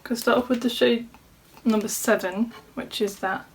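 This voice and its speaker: A woman's voice: a little high, steady tone, normal speed, slight background noise, low-quality recording. A woman vlogger walking through a makeup tutorial in a casual chat, sounding slightly nasal from a cold. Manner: chatty, talks through each step. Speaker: beauty vlogger. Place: home room at a desk.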